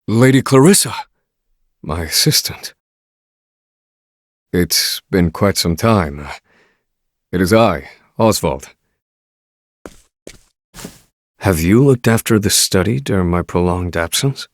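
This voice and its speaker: Very deep, grave scholar. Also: male voice, animated, no echo, clean studio sound. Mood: composed, insistent. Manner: formal, measured, stern. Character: grave, literal-minded male scholar of magic, escaped prisoner. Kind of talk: dramatic video game voice acting.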